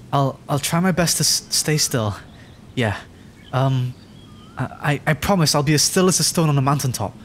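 Casting: male actor as timid young male dragon for ASMR audio drama, slow and halting, rambling apologetically, nature noise behind him. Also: on the high side. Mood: disbelieving, grateful. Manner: stammering, hesitant, rambling, apologetic. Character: nervous, adorkable young male dragon, injured and frightened.

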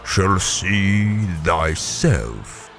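Slow, menacing fantasy gatekeeper. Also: male voice, big pitch swings, gravelly, a bit bright, soft background music, full character voice. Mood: commanding, menacing. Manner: archaic, booming, drawn-out. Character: solemn, menacing ancient gatekeeper. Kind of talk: fantasy game acting.

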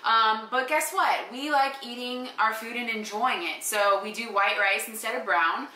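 A woman's voice: fairly high pitch, moderate pace, sharp tone, recorded from a distance. A young woman walking viewers through her meal prep step by step in an upbeat, casual way, in a small home kitchen on a camera mic. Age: young adult. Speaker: vlogger. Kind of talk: casual cooking vlog walkthrough. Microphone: handheld camera mic. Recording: echoey kitchen with background noise.

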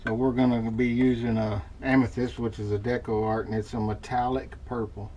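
A middle-aged man giving a relaxed, folksy running commentary as he demonstrates a hands-on craft at his workshop bench. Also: mid-range voice, a little fast, highs cut off. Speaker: lure painter demonstrating. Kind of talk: casual how-to demonstration. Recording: noisy workshop with airbrush spray.

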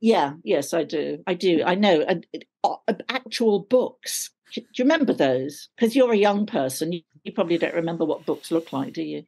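Casting: older woman, lowish voice with plenty of fry, wry and bantering in casual interview talk. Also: animated, normal speed, darkish tone. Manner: wry, self-deprecating, bantering. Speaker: guest, actor. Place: at home on a video call.

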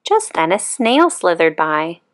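Slow, expressive woman reading a bedtime story. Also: lowish voice. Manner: calm, expressive. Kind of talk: children's picture book read-aloud.

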